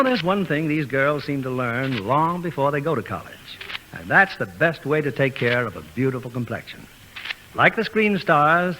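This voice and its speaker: Bright-voiced vintage male radio announcer. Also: a little high, slight background noise, rough recording. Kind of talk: polished scripted 1940s radio announcing. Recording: old radio broadcast with music.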